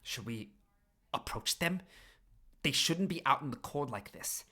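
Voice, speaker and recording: A man's voice: medium pitch, animated, a little slow, sharp tone, pitched above the performer's own voice. A timid teenage nephew from a story reading, acted by a man. He sounds worried and hesitant, kind-hearted, with a raised voice that falters. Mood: hesitant worry. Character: timid, sympathetic skinny teenage nephew, anxious.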